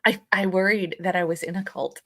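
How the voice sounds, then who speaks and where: A woman's voice: on the low side, steady tone, fairly quick, a bit bright. A woman co-hosting a live podcast, chatting informally and hesitantly as she searches for her words. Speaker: podcast co-host. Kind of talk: casual live podcast chat.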